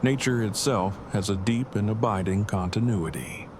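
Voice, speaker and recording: An actor playing Eugene, a verbose, bookish and affectionate apocalypse survivor, speaking formally and precisely in an audio drama. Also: male voice, on the low side, moderate pace, natural voice. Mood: reflective. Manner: formal, wordy, precise diction. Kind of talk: fan audio drama acting. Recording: clearly noisy, with ambience behind.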